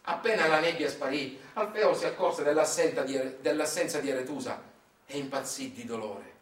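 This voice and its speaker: A man's voice: fairly high pitch, fairly quick. A man with a Sicilian accent performing a dramatic, ironic storytelling monologue, slipping into dialect. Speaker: stage storyteller, monologue actor. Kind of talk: theatrical narrative monologue, satirical storytelling. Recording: roomy, distant microphone.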